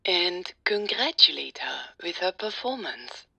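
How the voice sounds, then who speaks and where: A woman voicing a composed, insistent older mother in an audio drama, formal and brisk in manner. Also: lowish voice, sing-song, gravelly, pushed and bright, breathy edge, no room sound, exaggerated voice. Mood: dismissive, firm.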